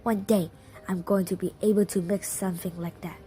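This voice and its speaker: A woman's voice: medium pitch, steady tone, a little fast, mellow, faint music behind, natural voice. A woman reading the part of an eager, earnest young island boy in a storybook, plain-spoken and persuading. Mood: dreamy.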